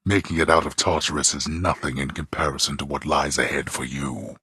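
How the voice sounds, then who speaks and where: An actor playing a haughty male god of the underworld who scolds his son in a deep, clipped, contemptuous voice. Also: fairly even intonation, brisk, bright, forceful tone, breathy edge, dry recording, clean studio sound, heavily acted. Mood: cold, lecturing. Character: imperious male god of the underworld, cold and disdainful. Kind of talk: game character voice lines.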